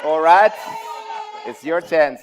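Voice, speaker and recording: A man moderating a live forum session. He directs the crowd in a brisk, upbeat way. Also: a little high. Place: large conference hall. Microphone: handheld microphone.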